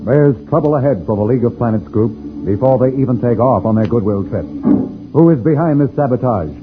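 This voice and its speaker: A man's voice: mid-range voice, fairly quick. Dramatic male radio serial narrator over music. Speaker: announcer-narrator. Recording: tinny old radio recording.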